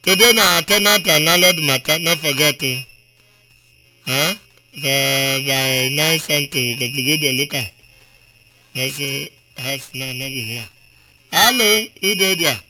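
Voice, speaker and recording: A man's voice: a little high, measured pace, very noisy. Nigerian man hosting a call-in show. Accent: Nigerian Pidgin English. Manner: chatty, rhetorical "eh?" after each point. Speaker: radio show host. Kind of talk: casual call-in radio talk.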